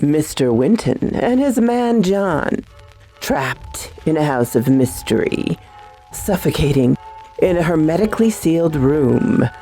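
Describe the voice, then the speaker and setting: A woman's voice: low voice, very animated, a little slow, dark tone, rough recording. A woman hosting and narrating a radio drama in a theatrical, teasing horror-host style. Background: music.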